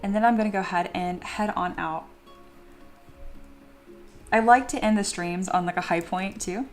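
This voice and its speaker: A woman's voice: mid-range voice, moderate pace, soft background music. A young adult woman chatting casually on a live stream while she sculpts at home, reading viewer messages aloud and laughing often. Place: home studio.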